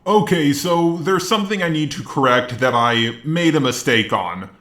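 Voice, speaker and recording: A man's voice: a little high, moderate pace, fairly bright tone. A man giving informal review commentary with wry, joking asides. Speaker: video reviewer. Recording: roomy, echoey sound.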